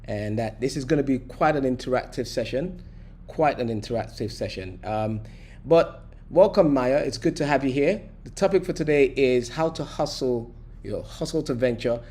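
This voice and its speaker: Male host of an online session, chatty and joking, mid-pitched voice, on a video call. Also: moderate pace, mellow. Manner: chatty, joking asides, frequent fillers.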